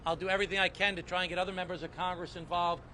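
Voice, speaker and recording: A man's voice: high voice, flat delivery, very fast, sharp tone, slight background noise. A man, a congressman, giving assured remarks at an outdoor press event. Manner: assured, promising action. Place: outdoors on a city street.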